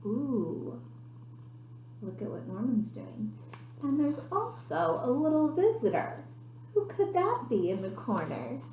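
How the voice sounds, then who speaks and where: A woman's voice: high voice, sing-song, a little slow, warm tone, a little hiss or hum. A woman teacher reading a picture book aloud expressively to children, stopping to point out details to her young listeners. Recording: roomy, echoey sound.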